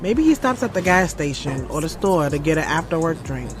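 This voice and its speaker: A woman's voice: deep voice, sing-song, fairly quick. An actress playing a candid, loyal woman who narrates her own story in an audio drama, in a conversational, slangy and frank way. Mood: reflective. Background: music.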